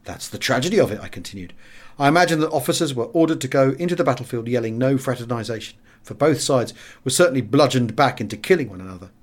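An English man reads an audiobook memoir in a mid-pitched voice. His delivery is expressive, with the pitch moving freely and widely as he narrates. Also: fast talker. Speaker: audiobook reader.

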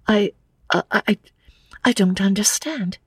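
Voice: medium pitch, takes it slowly, fairly bright tone, slightly rough, dry recording, clean studio sound, pitched above the performer's own voice, full character voice. A performer voicing an anxious, polite young woman who stammers and gasps in distress, as in a dramatic audiobook. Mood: alarmed, confused. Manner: stammering, gasping. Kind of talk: dramatic audiobook character reading.

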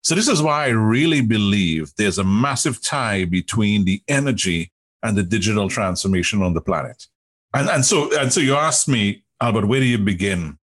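A man with a Trinidadian accent and a husky voice, explaining emphatically in conversation as an interview guest, often posing rhetorical questions. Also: lowish voice, animated, normal speed. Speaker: interview guest, technology executive.

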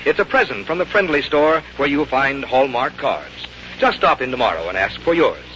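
A man's voice: mid-range voice, big pitch swings, normal speed, fairly bright tone, a little hiss or hum. A man with an American accent, a radio announcer reading a polished, persuasive 1950s sponsor message. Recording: dull vintage radio broadcast, faint music.

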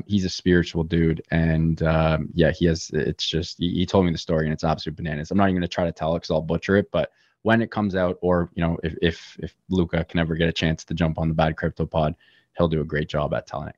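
A man's voice: deep voice, darkish tone, dry recording, muffled recording. A man in his twenties, a podcast guest, talking casually with lots of fillers and restarts. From Canada. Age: 20s. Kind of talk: casual chat.

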